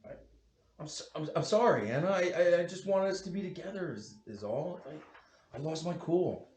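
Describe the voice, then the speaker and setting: An actor at a table read playing a panicked, guilty husband with a nervous, hesitant way of talking. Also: male voice, a little high, animated, moderate pace, a little mellow, lightly acted. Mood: pleading. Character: panicked, guilty husband turned killer, stammering and pleading. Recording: roomy echo with faint background noise.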